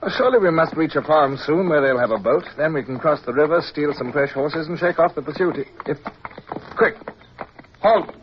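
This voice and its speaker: An actor playing a resolute naval officer in a radio drama, commanding yet tender, crisp and formal as he tells of his war. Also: on the high side, animated, normal speed, lightly acted. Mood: urgent. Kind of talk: radio drama acting and first-person narration. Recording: muffled, noisy old radio recording.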